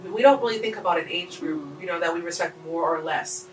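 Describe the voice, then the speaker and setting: A woman's voice: fairly low pitch, a little fast, echoey room, far from the mic, background noise. A woman co-hosting a casual conversation, speaking emphatically and often jumping in with "hello" and "girl.".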